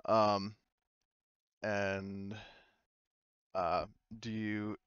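A man's voice: on the low side, flat delivery, very slow, fairly bright tone, smooth, dry recording, lo-fi sound. A man running a tabletop game as game master, casual and easily amused. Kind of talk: casual game narration and chat.

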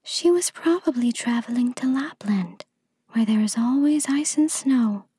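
A gossipy forest pigeon from a storybook, played by a woman. The voice sits high and very breathy, with an eerie, sing-song coo and an unhurried delivery. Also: animated, dark tone, dry recording, studio quality, heavily acted. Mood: cooing, informative. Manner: cooing, sing-song calls. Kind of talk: storybook character voice.

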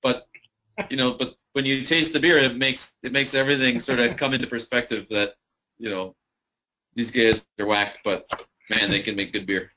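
A man from a brewery, talking about beer in a relaxed, joking way as a podcast guest. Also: normal speed, low-quality recording. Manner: relaxed, joking, swings up in pitch. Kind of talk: casual interview chat.

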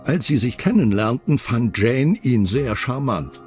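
A man's voice: mid-range voice, lively intonation, unhurried, darkish tone, soft background music. A man reads scripted documentary narration as the narrator of a crime series.

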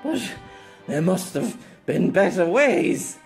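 A man voicing a weary middle-aged former swordsman in an audio story, halting and bemused. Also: high voice, big pitch swings, vocal fry, faint music behind, voice pushed up, exaggerated voice. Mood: flustered, exasperated.

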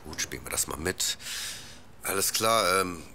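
A man's voice: deep voice, animated, moderate pace, creaky, sharp tone, slight background noise, exaggerated voice. A man playing a laid-back young neighbour in a comedy audio play, hesitant, casual and slangy.

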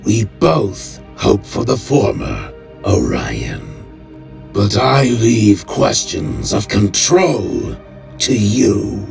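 Megatron, a cold gravelly robot warlord, voiced by a man. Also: fairly low pitch, distant mic, heavily acted. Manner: cold, clipped, measured. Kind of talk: fan comic dub.

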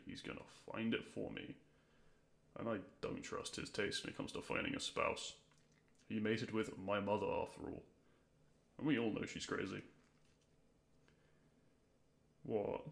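A man's voice: fairly low pitch, vocal fry. A man playing a grumpy, weary dragon who is secretly fond, speaking to the listener in an exasperated, dry way in an audio roleplay. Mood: reluctant, grumbling.

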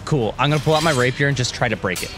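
A man playing a tabletop game, chatting casually and excitably with friends. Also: mid-range voice, very fast. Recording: loud music under the voice. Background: prominent music score.

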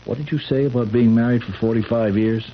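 An actor playing a skeptical but patient sheriff in a 1970s radio drama, questioning persistently with some hesitation. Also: male voice, medium pitch, fairly even intonation, a little fast, natural voice. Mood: probing. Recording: dull, noisy vintage radio recording.

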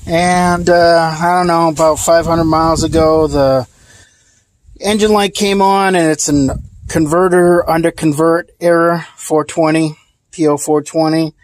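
A man's voice: a little high, fairly even intonation, measured pace, no room sound. A man casually explaining a car repair outdoors, talking into a handheld phone camera in a rambling, plainspoken way with frequent fillers. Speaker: DIY car repair vlogger. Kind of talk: casual hands-on repair walkthrough. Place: outdoors at a car engine bay.

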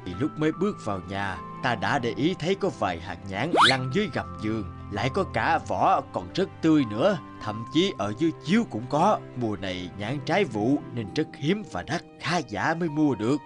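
A man's voice: mid-range voice, big pitch swings, fairly quick, hardly any pauses, music in the background, close to an everyday voice. A man as a wise old village healer. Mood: explaining. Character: elderly village healer, a wise, stern teacher. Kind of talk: Vietnamese cartoon voice acting.

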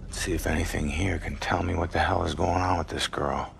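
Gravelly, low-voiced American detective ghost. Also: male voice, fairly even intonation, brisk, very noisy. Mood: grim, musing. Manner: sardonic, gruff. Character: gruff, wry dead detective ghost, adult man. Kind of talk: dramatic video game cutscene acting.

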